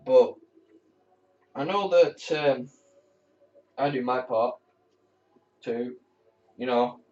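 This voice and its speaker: A man's voice: mid-range voice, drawn-out words, darkish tone. Young man, distant muffled recording. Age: teenager. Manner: candid, rambling, swears when annoyed. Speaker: air cadet corporal. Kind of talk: casual talk to camera.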